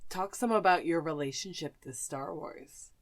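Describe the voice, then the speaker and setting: A woman's voice: low-pitched, moderate pace. A young woman co-hosting a podcast in casual conversation, speaking emphatically and opinionatedly with casual swearing. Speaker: podcast co-host.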